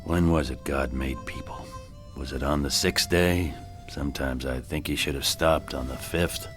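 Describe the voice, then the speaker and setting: Weary, very deep-voiced Western sheriff. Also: male voice, moderate pace, music in the background. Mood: bitter, musing. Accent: American. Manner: terse, sardonic, world-weary. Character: weary, plain-spoken small-town Western sheriff, grown man. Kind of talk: radio drama acting.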